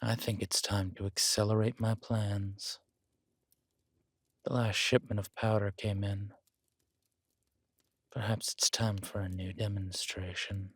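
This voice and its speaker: A man playing a controlled, vengeful villain king who speaks with measured, quiet menace in an intimate audio roleplay. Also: fairly low pitch, flat delivery, no room sound. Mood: scheming, menacing.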